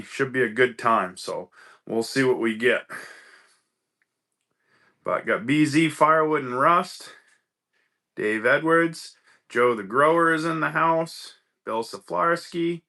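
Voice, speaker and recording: A man's voice: mid-range voice, slow pace, vocal fry, long pauses, sharp tone. A man hosting a casual livestream, greeting viewers by name, a little stuffy from a cold. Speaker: livestream host.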